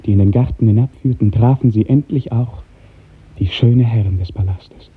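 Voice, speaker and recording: A man narrating a literary radio drama in an earnest, lyrical, carefully enunciated way, with a soft, husky voice. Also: lowish voice, moderate pace. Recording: muffled narrow-band 1950s radio recording.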